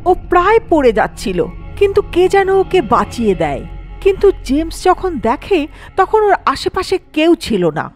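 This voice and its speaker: A woman's voice: extremely high pitch, very animated, normal speed, faint music behind. A woman narrating a scripted horror story in a dramatic storytelling manner.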